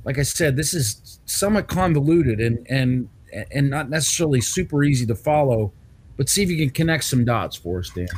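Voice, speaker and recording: Guy hosting a news chat segment, mid-pitched, kinda rambly with restarts. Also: animated, brisk, darkish tone, slightly rough. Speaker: publisher and segment host. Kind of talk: casual news commentary.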